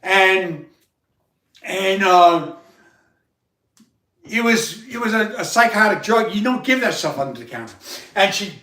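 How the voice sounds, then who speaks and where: A man with a loud voice hosting a livestream, telling a rambling personal story with halting restarts and repeated words. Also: sits high, expressive. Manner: repetitive, halting, many restarts. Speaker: livestream host. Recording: roomy sound, far from the mic.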